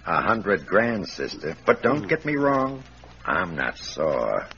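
A muffled, slightly noisy vintage radio recording carries a smug, menacing gangster. He talks low in slangy, taunting tough-guy lines, as in a 1940s crime drama. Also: sing-song, slow pace, pushed and bright, full character voice. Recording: muffled old radio recording, faint noise.

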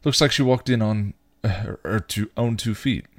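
A man voicing a gruff, streetwise male vampire in a story, with clipped, slangy, growling speech. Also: fairly low pitch, normal speed, pitched above the performer's own voice, close to an everyday voice. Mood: matter-of-fact.

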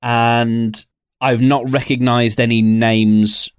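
A man co-hosting a podcast in casual conversation, giving his opinions in a measured, hesitant way. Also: mid-range voice, little pitch movement, clear, resonant tone, no echo, studio quality. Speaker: podcast co-host. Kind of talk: casual chat and reviewing.

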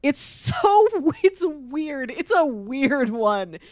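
A woman's voice: high voice, big pitch swings, very slow, a little mellow, dry recording, clean studio sound. A woman co-hosting in casual chat, telling things in an informal, chatty way. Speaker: podcast co-host. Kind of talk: casual chat recommending shows.

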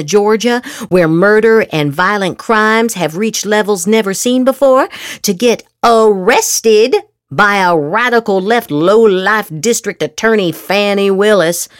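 A woman's voice: medium pitch, no echo, studio quality. A woman podcast host reading political social media posts aloud dramatically, with theatrical, exaggerated inflection.

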